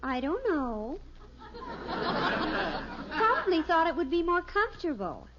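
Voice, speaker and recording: Earnest teen girl, extremely high and excitable, muffled vintage radio comedy sound. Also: a little slow, a loud crowd, very noisy, exaggerated voice. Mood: matter-of-fact. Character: earnest, excitable teenage daughter in family sitcom. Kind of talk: 1950s radio sitcom acting. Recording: muffled old radio recording.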